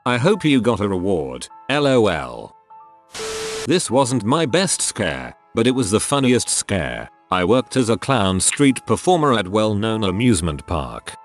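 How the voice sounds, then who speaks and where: A man reading online stories aloud in a steady, even scripted narration. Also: on the low side, a little slow, soft background music.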